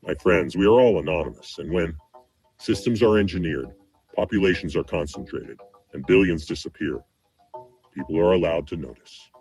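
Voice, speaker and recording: A man's voice: low-pitched, normal speed, a little mellow, faint music behind. A man delivering scripted political commentary in a grave, declamatory way.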